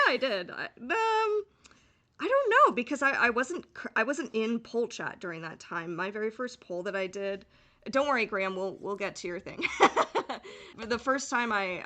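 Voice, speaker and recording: Bright-voiced woman, playful podcast chat. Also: mid-range voice, expressive, normal speed. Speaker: podcast co-host. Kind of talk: casual fan podcast chat.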